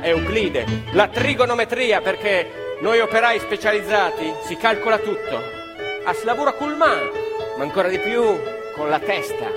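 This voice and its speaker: A man with an Emilian Italian accent performing a theatrical monologue, telling it in a lively, emphatic way. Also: sits high. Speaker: stage actor. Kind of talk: theatrical monologue with live music. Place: theatre stage. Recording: live performance in a hall, music behind.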